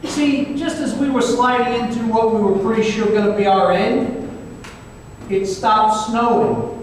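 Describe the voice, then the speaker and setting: A man's voice: high voice, fairly even intonation, a little slow. A man playing a wisecracking detective, recounting his adventures in a wry, conversational old-time radio style. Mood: amused. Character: wisecracking male detective recounting a supernatural gunfight. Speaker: actor in a staged radio play. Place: live performance in a reverberant hall. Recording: very echoey hall, distant mic, faint noise.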